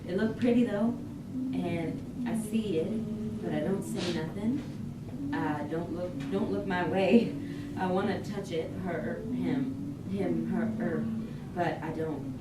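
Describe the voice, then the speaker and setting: A woman's voice: on the low side, slow pace. A woman playing an awed, fearful eldest daughter in a staged reading, speaking a poetic folk dialect with incantatory repetition. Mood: fearful wonder. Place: indoor room at a staged reading. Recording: echoey, noisy room, distant mic.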